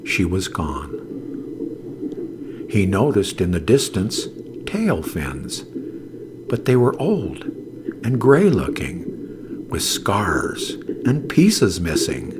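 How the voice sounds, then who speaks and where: A man narrates a children's tale in a low, gravelly voice. His delivery is very slow and dramatic, with big swings in pitch. There is clear noise in the recording. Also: huge pitch swings. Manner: dramatic, deliberate. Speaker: storyteller. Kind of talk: scripted children's story narration.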